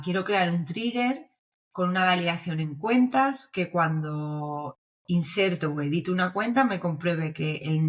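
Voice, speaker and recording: A young woman from Spain with a Spanish accent, explaining her views as a guest in a casual podcast interview and often checking in with her listener. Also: lowish voice. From Navarre, Spain. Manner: explanatory, checks in with frequent tag questions. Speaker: guest, developer advocate.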